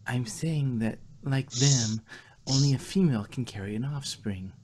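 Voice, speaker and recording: A woman's voice: extremely low pitch, moderate pace, dark tone. A woman voicing a flustered, exasperated young female pony scholar in a fan fiction reading.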